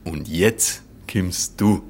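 A man's voice: low voice, drawn-out words, over loud music, heavily acted. An actor playing an insistent, scheming young village man in a radio drama, explaining emphatically with a Bavarian accent. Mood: urging, persuasive.

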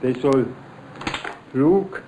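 A man's voice: mid-range voice, takes it slowly, background noise. A man explaining informally as an amateur inventor.

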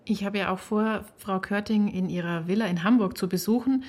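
A female reporter narrates a feature from a script. Her voice sits at an average pitch for a woman. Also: a little fast, a little mellow.

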